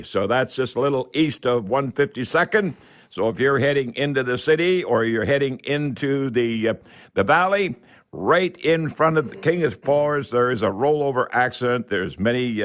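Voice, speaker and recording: A male radio host speaks at a middling pitch. His delivery is folksy and rambling, full of hesitations, as he addresses listeners on a live call-in show. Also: a bit bright.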